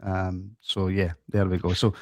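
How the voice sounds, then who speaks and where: Joking podcast co-host. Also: male voice, low-pitched, completely flat delivery, unhurried, darkish tone, dry recording, studio quality. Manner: joking, teasing. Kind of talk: casual football chat.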